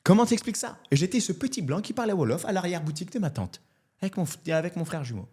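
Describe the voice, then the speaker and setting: A French man in his thirties, a comedian, telling his life story in a casual interview in an animated, candid way. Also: high voice, fast talker, slightly rough. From France. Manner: animated and candid, often adds "tu vois". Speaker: comedian guest.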